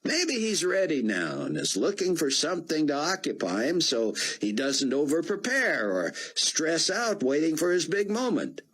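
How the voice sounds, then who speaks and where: A man's voice: on the high side, huge pitch swings, moderate pace, hardly any pauses, fairly bright tone, husky, pitched above the performer's own voice, heavily acted. A man doing an eager young journalist. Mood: pleading. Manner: earnest, respectful.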